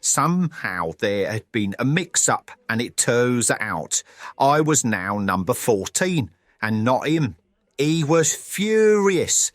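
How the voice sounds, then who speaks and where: A man's voice: medium pitch, sing-song, takes it slowly, dry recording, studio quality. A man playing a big, cheerful, forgetful cartoon character who rambles vaguely in a mock documentary interview for an audio drama. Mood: animated, recounting.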